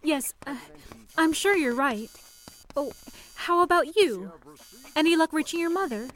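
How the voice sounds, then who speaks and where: A woman playing a polite, anxious young telephone operator in an old-fashioned radio drama, with courteous, soft-spoken reassurance. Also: high-pitched, animated, unhurried, poor audio. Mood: worried. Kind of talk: 1940s radio drama acting.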